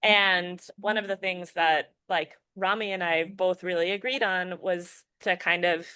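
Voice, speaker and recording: A woman speaks in a fairly low voice, recorded close and dry with no room sound. She is a filmmaker guest on a podcast, reflective in tone, circling back with restarts and "you know" as she works through her thoughts. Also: fairly even intonation, unhurried, bright, forceful tone, smooth, dry recording. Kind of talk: casual podcast interview.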